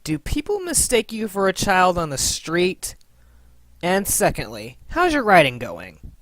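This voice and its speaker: A man presents a question segment in a very animated voice that swings widely in pitch. He is playful and theatrical, and the recording is dry. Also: a little slow, no echo. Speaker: host of a fan Q and A.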